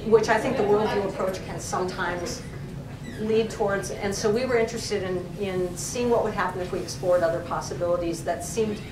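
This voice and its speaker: A woman's voice: mid-range voice, steady tone, a little fast. Woman, professor, audience Q&A, echoey room, heard from afar. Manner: thoughtful, with frequent fillers. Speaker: professor and co-editor. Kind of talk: library talk, answering questions. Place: library event room. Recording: echoey room, distant mic, noisy.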